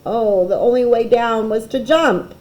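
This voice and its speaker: A woman's voice: fairly high pitch, a little slow, darkish tone, slight background noise, pitched above the performer's own voice, natural voice, rough recording. A woman voicing a small, hopeful male egg, earnest and full of wonder, in a children's picture book.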